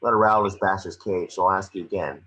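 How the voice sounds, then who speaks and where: Man playing a deep-voiced, gruff FBI chief. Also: steady tone, quick pace, bright, forceful tone. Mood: concerned, firm. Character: gruff, hard-nosed male FBI chief, blunt and commanding. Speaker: amateur actor in an online table read. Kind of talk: screenplay table read. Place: remote video call. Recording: muffled video call audio.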